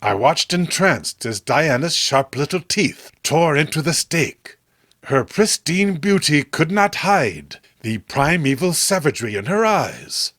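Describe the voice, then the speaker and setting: A man narrates a horror tale in a highish male voice. His reading is grave and theatrical, slow but very animated in pitch. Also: no echo, clean studio sound. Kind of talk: dramatic scripted narration.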